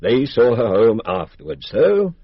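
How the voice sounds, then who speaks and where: An actor playing a blunt, confident English police inspector, chatty and matter-of-fact, in a radio drama. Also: male voice, medium pitch, big pitch swings, measured pace, slightly rough, no room sound, full character voice. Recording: dry, dull lo-fi radio recording.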